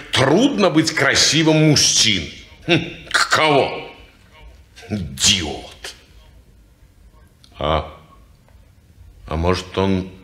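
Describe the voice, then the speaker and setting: A nosy, sharp-voiced gossip. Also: male voice, sits low, reverberant, exaggerated voice. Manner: prying, mocking. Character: nosy suspicious gossiping man.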